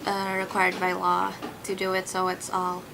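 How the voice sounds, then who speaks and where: A woman's voice: mid-range voice, completely flat delivery, normal speed. A woman from Indonesia being interviewed, speaking hesitantly and repeating words. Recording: clear ambient noise behind.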